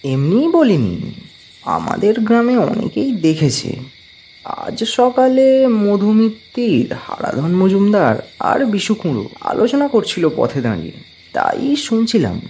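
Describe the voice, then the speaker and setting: Man acting a husky, animated village lad. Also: sits high, a little slow, heavily acted. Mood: conspiratorial. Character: village friend Tinkori, putting on bravado over hidden fear. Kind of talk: dramatised audio story dialogue.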